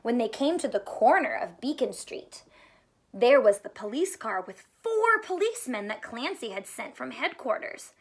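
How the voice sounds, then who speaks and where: A woman's voice: on the high side, sing-song, moderate pace, breathy edge. A woman teacher reading a storybook aloud to young children, expressively, with questions and asides spoken straight to them. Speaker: elementary school teacher reading aloud to students.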